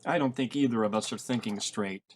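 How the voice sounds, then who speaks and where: A man's voice: on the low side, brisk, a little hiss or hum, close to an everyday voice. A man voicing a naive, easygoing man on the run in a novel reading, literal-minded and given to protest.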